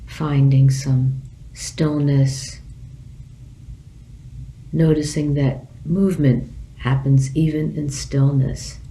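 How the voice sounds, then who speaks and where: A woman meditation teacher with a low, mellow voice guides listeners gently. She speaks in short phrases with long pauses between them. There is a faint noise behind her. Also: fairly even intonation, poor audio.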